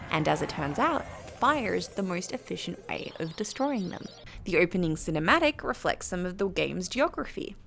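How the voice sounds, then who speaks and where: A woman with a British accent reads a scripted video game review in an articulate, measured, critical manner. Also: medium pitch, creaky, fairly bright tone. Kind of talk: scripted narration. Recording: faint background noise.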